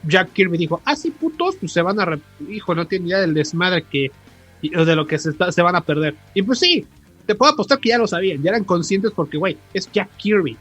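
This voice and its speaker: Casting: Mexican male podcast co-host, slightly high husky voice, emphatic and slangy, music underneath. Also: lively intonation, brisk, fairly bright tone. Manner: emphatic, repeats words for stress. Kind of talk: casual, slangy podcast chat. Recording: music behind the voice.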